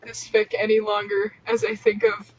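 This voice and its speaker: A woman's voice: medium pitch, completely flat delivery, normal speed, over a noisy crowd, rough recording. A woman podcast host reading fan fiction aloud and bantering casually, with a giggly, teasing manner.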